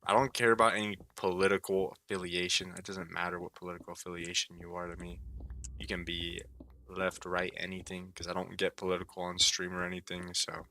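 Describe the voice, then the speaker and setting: Young male streamer, worn out and a bit sore-throated; very low, crisp voice; drawling, filler-heavy chat. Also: completely flat delivery, brisk, bright, forceful tone. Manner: laid-back, low and mumbly, lots of "like" fillers. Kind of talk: casual rambling stream talk.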